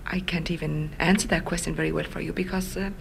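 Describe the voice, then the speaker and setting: A woman with a Connemara Irish accent, a native Irish speaker, talks through an interview answer. Her voice sits a little low with a gravelly edge. She hesitates often, piling up fillers and restarts as she thinks aloud. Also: fairly even intonation, fast talker, slight background noise.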